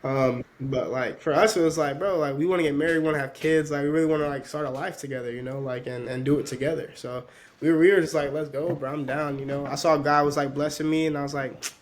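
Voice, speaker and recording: A twenty-year-old man whose first language was Spanish, talking casually as a podcast guest and leaning on fillers as his phrases trail off softly. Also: a little high, fairly even intonation, fast, a little hiss or hum. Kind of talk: casual conversation.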